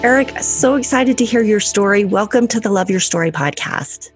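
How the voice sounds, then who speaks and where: A woman's voice: mid-range voice, expressive, moderate pace, music in the background. A woman hosting a podcast, reading an upbeat introduction and then interviewing her guest with enthusiasm. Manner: enthusiastic, warm praise with laughter. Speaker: podcast host.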